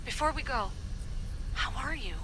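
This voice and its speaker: A woman's voice: sits high, animated, moderate pace, background noise. A woman playing an urgent, worried teammate on comms in a video game.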